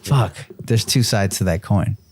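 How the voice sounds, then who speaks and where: A man's voice: on the low side, unhurried, a little mellow, a little hiss or hum. A man in his early forties co-hosting a casual podcast chat, rambling with many restarts and fillers. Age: 40s. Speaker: podcast co-host.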